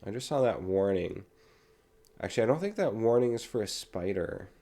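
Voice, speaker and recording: Low, raspy-voiced man with a cold. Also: normal speed. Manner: relaxed, chatty, talks while playing. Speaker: gaming channel host. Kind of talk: casual gameplay commentary.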